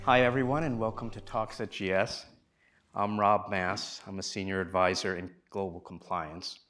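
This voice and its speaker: A man hosting an on-stage talk, formally introducing a guest and asking measured questions before a live audience. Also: fairly low pitch, fairly even intonation, fairly bright tone, soft background music. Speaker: interview host and moderator.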